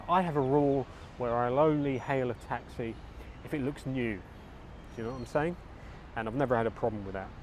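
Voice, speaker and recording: A middle-aged man talks to camera in a casual vlog, opinionated and dry. His voice sits at an ordinary middle pitch. Faint street traffic murmurs behind him. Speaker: vlogger and tour guide. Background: faint traffic and street noise.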